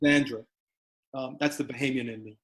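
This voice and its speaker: Middle-aged father, interview guest; stumbling, sincere delivery, average pitch. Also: fairly even intonation, a bit bright. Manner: halting, many restarts and fillers, earnest. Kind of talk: reflective conversational interview.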